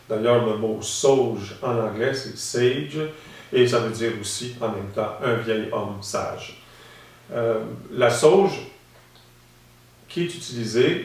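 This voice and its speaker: A man from Saskatchewan, calmly explaining and demonstrating as an instructor, often pausing with hesitations. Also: medium pitch, vocal fry. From Saskatchewan, Canada. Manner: calm, explanatory, with frequent hesitations. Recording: very echoey room, mic at a distance.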